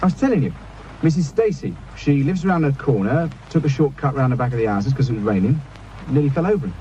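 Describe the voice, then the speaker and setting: An actor playing an obliging English local police constable, giving matter-of-fact reports in a radio drama. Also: male voice, medium pitch, animated, quick pace, dark tone, dull audio, a little hiss or hum, natural voice. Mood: brisk.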